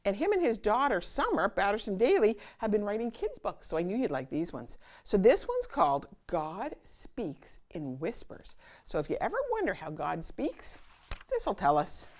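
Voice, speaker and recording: A woman hosting a children's storytime, reading a picture book aloud in an expressive, sing-song manner with dramatic pauses. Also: medium pitch.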